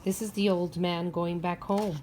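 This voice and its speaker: A woman teacher reading a picture book aloud to young children, expressive and instructive, often pausing to ask them questions. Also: lowish voice, a little hiss or hum. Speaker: preschool or primary teacher.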